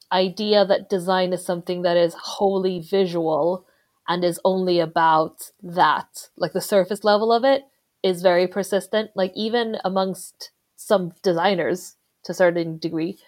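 A woman with a fairly low voice, from the Philippines and raised in Sweden, talking as a guest in a relaxed interview. She speaks in an articulate, measured way, qualifying her points with careful hedges. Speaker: UX designer, podcast guest. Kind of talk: casual interview talk.

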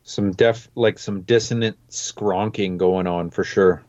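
A man's voice: low voice, steady tone, takes it slowly, darkish tone, dry recording. A man co-hosting a podcast, rambling casually with lots of fillers. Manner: loose and rambling, many fillers like "like" and "you know". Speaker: podcast co-host. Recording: dry, dull lo-fi mic.

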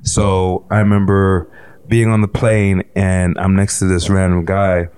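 A man's voice: low-pitched, little pitch movement, a little slow, darkish tone, smooth, no echo. A young man born in Haiti, telling his life story in a casual interview, laid-back and rambling with lots of fillers and swearing. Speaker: guest musician.

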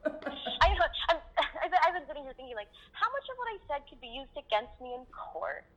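Lively, bright-voiced woman on phone line. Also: sits high, normal speed. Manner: animated, wry, laughs and swears. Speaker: guest. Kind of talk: casual interview chat.

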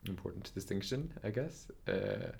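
A young man from Norway talks in a low voice with a gravelly fry. He speaks thoughtfully and hesitantly, full of fillers and self-corrections, as he tells his story in a relaxed interview. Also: moderate pace. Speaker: dancer, interview guest. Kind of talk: casual interview talk.

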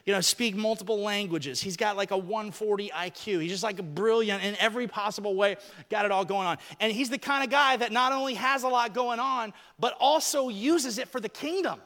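Joking male preacher, bright ringing tone, long hall reverb. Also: high-pitched, fairly quick. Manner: joking, animated, talks to people on stage. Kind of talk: lively sermon with audience volunteers.

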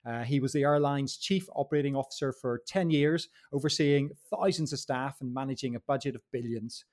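A man's voice: mid-range voice, fairly quick, darkish tone. A man hosting a podcast, summing up points and welcoming his guest. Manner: summarizing, welcoming. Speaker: podcast host. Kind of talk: hosting interview.

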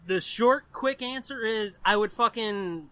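A young American man with a notably high, bright voice, recorded close and dry. He hosts a podcast and talks informally, swearing freely as he rambles through personal anecdotes. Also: measured pace, clear, resonant tone, dry recording. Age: 20s. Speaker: podcast host. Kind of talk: casual chat, telling personal anecdotes.

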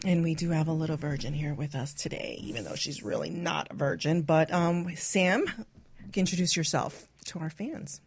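A woman's voice: low-pitched, normal speed, background noise. A woman hosting a radio talk show in a casual, bawdy chat style, with a brash, teasing manner. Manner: teasing, brash, laughs often.

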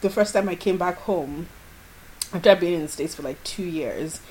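A woman's voice: fairly low pitch, moderate pace, a little mellow, rough recording. A woman from Nigeria chatting casually on a podcast, telling a story and often breaking into laughter. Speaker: podcast co-host.

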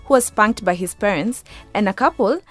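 A woman's voice: mid-range voice, lively intonation, normal speed, faint music behind. A woman presenting a talk show as host in a scripted presenting style.

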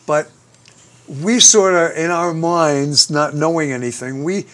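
A man's voice: a little high, measured pace, fairly bright tone. American guy interviewing guests, talks a lot, leans hard on words, leading questions. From United States. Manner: talkative, leading questions, emphatic rises. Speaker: interview host. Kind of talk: conversational interview.

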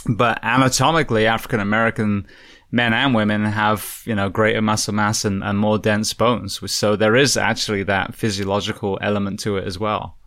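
Chatty low-voiced male interviewer, dry sound. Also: flat delivery, a little fast, a bit bright, no room sound. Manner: inquisitive, chatty, explains at length. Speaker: podcast host. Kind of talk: interviewing, conversational.

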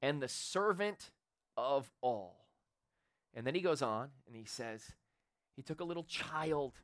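A man in his forties preaching a sermon in a church, loud and animated, building up intensity. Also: mid-range voice, unhurried, no room sound, studio quality. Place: church sanctuary with a congregation. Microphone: handheld or stage microphone.

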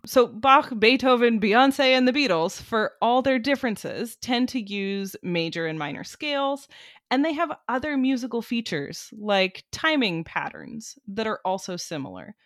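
A woman's voice: on the high side, animated, moderate pace, fairly bright tone, dry recording, clean studio sound. A woman giving an articulate, conversational explanation in the manner of an educator being interviewed. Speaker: music cognition researcher and educator, interviewee.

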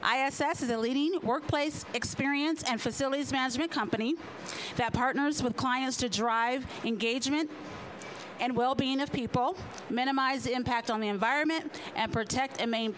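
High-voiced woman giving formal introduction. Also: normal speed, a bit bright, a little hiss or hum, rough recording. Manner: polished, formal. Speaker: sponsor representative introducing speaker. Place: conference session room.

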